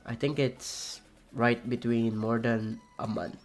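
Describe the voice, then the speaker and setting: A young man with a raspy voice, streaming a game and rambling casually with many fillers and restarts. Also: mid-range voice, fairly even intonation, takes it slowly. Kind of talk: casual chat while playing a game.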